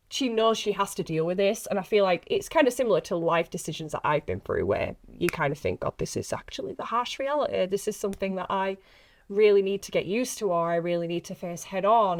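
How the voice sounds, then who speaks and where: A woman in her late twenties, an actress chatting casually on a podcast in a giggly, self-deprecating way. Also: mid-range voice. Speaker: actress being interviewed.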